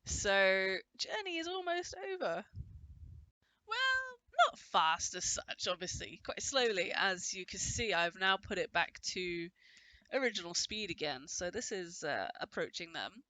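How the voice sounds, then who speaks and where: A woman's voice: medium pitch, big pitch swings, measured pace, bright, forceful tone, no echo, poor audio. A woman with a cold, casually narrating her gameplay in a chatty, rambling way with frequent restarts. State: has a cold, voice a bit off. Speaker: gameplay commentator. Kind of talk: casual let's-play commentary.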